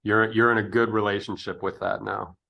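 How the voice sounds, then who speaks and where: A man's voice: lowish voice, flat delivery, moderate pace, creaky, bright, forceful tone. A man in his fifties hosting a casual interview, talking in a rambling, tangent-prone way. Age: 50s. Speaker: interview host.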